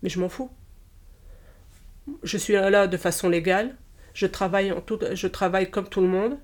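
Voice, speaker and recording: A woman in her fifties, born in Portugal, answers questions in an easygoing, conversational way. She often stops mid-sentence, restarts her thoughts and fills gaps with hesitations. Her pitch sits in the middle of the usual range for a woman. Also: fairly even intonation. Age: 50s. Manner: halting, many pauses and restarts. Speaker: interviewee, childminder. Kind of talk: casual interview conversation.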